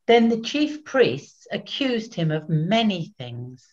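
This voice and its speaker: An older woman gives a solemn scripture narration in short measured phrases. The pace is slow and the pitch ordinary, recorded a little dully over a home video call. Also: sing-song, darkish tone. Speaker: narrator, Evangelist. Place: small room at home. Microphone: computer video call. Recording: dull lo-fi video-call audio.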